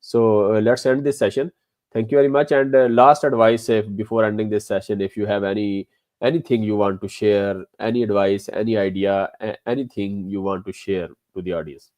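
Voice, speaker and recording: A man's voice: fairly low pitch, steady tone, fairly quick, darkish tone, no room sound. Guy hosting an interview, polite, lots of uh, dry close sound. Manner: polite, hesitant with fillers. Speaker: host.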